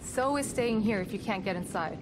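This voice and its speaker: An actress playing a composed, caring and brave team psychologist in a video game, explaining things in a reassuring manner. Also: female voice, mid-range voice, animated, brisk, noisy background, close to an everyday voice. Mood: steady, determined. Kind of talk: dramatic video game acting. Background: music.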